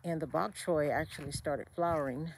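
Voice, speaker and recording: A woman giving a relaxed, unhurried walk-through of her desert garden, with fillers and self-corrections along the way. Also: low-pitched, slight background noise, poor audio. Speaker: homesteader giving a garden tour. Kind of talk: casual walk-through narration.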